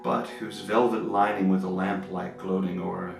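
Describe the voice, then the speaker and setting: A man reading poetry as dramatic storytelling, with theatrical, measured and expressive phrasing. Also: deep voice, gravelly. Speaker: reader of a classic poem. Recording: roomy, faint music behind.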